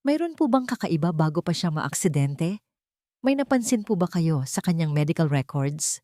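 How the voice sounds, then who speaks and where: A woman's voice: low-pitched, sing-song, moderate pace, dark tone, no room sound. A woman narrator plays an earnest, insistent Filipino lawyer, a protective brother in an audio story. The delivery is close and dry, with no room sound. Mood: polite probing.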